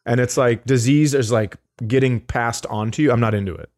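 A man's voice: medium pitch, fairly quick, darkish tone. Teasing male co-host with mid-range voice. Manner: profane, teasing, digressive. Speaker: podcast co-host. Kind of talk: casual comedic banter.

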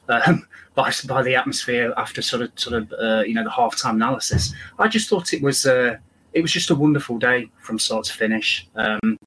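A man with a croaky voice, a football fan guesting on a podcast, talking enthusiastically and rambling. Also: mid-range voice, fairly bright tone, a little hiss or hum, low-quality recording. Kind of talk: casual podcast chat.

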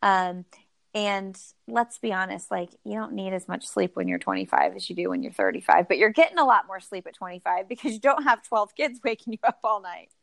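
A woman's voice: medium pitch, fairly quick, vocal fry, dry recording, clean studio sound. A woman in her thirties, a guest on a podcast, talking casually and laughing often about her own running. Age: 30s. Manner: chatty, laughs often, self-deprecating. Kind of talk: casual interview chat.

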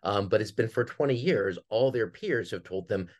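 A man's voice: lowish voice, expressive, normal speed. A man speaking as an interview guest, explaining his work casually and conversationally. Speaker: guest, theater artistic director.

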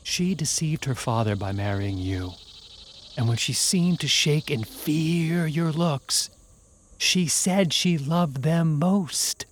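An actor playing a scheming, manipulative male ensign in a radio play, talking in a smooth, insinuating way while feigning humility. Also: fairly high pitch, slow pace.

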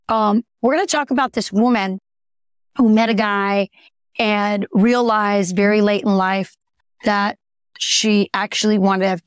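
A woman co-hosting a casual political chat podcast, hoarse and raspy from a cold, lively and quick to laugh. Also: mid-range voice, no room sound, studio quality. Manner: lively, laughs easily, asks her co-host questions. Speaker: podcast co-host.

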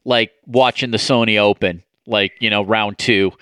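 A man's voice: lowish voice, steady tone, normal speed, bright, forceful tone, smooth, no echo. A man hosting a casual sports podcast, rambling with many fillers. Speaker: podcast host.

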